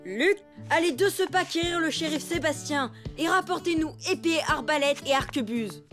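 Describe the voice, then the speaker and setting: A woman's voice: high voice, moderate pace, fairly bright tone. A woman voicing a bossy, boastful young elephant boy in a children's cartoon, with a theatrical, grandiose way of talking. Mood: confident, bossy. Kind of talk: animated children's cartoon acting. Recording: music behind the voice.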